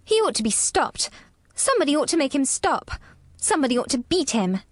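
Woman voicing a furious, high-pitched bossy little girl. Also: big pitch swings, a little fast, no echo, clean studio sound. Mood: indignant. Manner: fierce, commanding, scolding.

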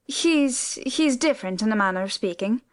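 A woman's voice: fairly high pitch, expressive, normal speed, natural voice. A woman reader voicing a flustered, talkative older housekeeper who rambles through her explanations. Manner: breathless, rambling explanations, lots of "sir".

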